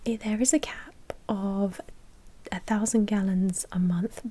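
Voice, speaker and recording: A woman voicing a polite, obliging vampire blood bank manager in a soft, whispered ASMR roleplay, with courteous customer-service patter. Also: medium pitch, steady tone, unhurried, a little hiss or hum, lightly acted. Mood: polite, businesslike.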